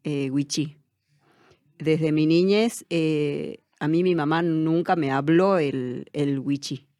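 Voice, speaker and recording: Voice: mid-range voice, slow, mellow, no echo, clean studio sound. A person with an Argentine Spanish accent, speaking as an interview guest in a reflective way, with many fillers and restarts.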